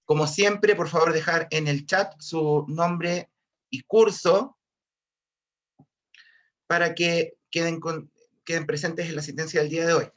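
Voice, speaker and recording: A Chilean male physics teacher, a little hoarse from a cold, teaching online in a fairly high voice. He restarts sentences and keeps calling to his students, through dull, lo-fi video-call audio. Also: steady tone, unhurried, pauses often, fairly bright tone. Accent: Chilean Spanish. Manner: repetitive, restarts often, keeps addressing students. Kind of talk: online class lecture.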